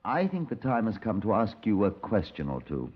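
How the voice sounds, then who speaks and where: An actor playing an exasperated, sardonic detective in a 1950s radio drama. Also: male voice, on the low side, wildly swooping pitch, moderate pace, slightly rough, full character voice. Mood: incredulous. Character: exasperated, dry Scotland Yard detective, male narrator. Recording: dull 1950s radio recording.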